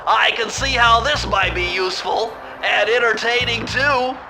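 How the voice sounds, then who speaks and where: A man's voice: very high-pitched, a little slow, gravelly, heavily acted, poor audio. An actor playing an eager, bookish male turtle genius who explains things precisely, over game music. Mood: excited. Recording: game soundtrack music behind.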